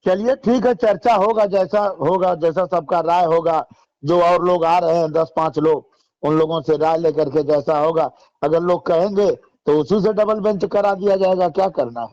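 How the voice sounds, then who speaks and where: High-voiced, placating man on a muffled call. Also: fairly even intonation, normal speed, a little mellow. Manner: placating, deferential, noncommittal. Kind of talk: phone conversation, short deferential replies. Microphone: phone call. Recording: muffled, dull phone call recording.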